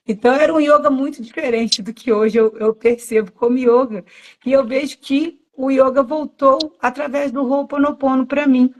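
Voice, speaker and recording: A woman's voice: high voice, steady tone, moderate pace, low-quality recording. Brazilian woman in her late 40s, mild Minas accent. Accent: Brazilian Portuguese, Minas Gerais (Zona da Mata, mild). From Minas Gerais, Brazil. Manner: reflective, meandering, with self-corrections and frequent "né". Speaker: yoga teacher. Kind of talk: personal storytelling on a live stream.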